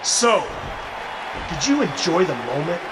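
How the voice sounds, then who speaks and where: Smug high-voiced veteran wrestling champion. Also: male voice, sing-song, a crowd in the background. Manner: pompous, measured, theatrical. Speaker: professional wrestler. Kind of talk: in-ring wrestling promo. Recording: distant mic with clear noise.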